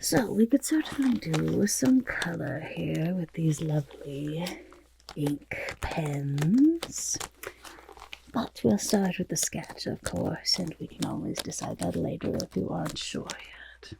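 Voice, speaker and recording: A woman playing a vain, fussy goblin portrait artist in an ASMR roleplay, with a raspy half-whisper and pompous, flattering, hesitant talk. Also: sits low, slow, noisy background, exaggerated voice. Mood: fussy flattery. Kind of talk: ASMR roleplay, soft personal attention.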